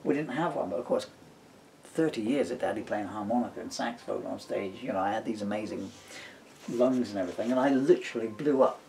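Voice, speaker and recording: A man's voice: on the low side, a little fast, vocal fry, a little mellow, a little hiss or hum. A middle-aged British man chatting casually and reading his own comic writing aloud, rambling and playful as he digresses. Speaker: father, author and stage performer. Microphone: camera mic.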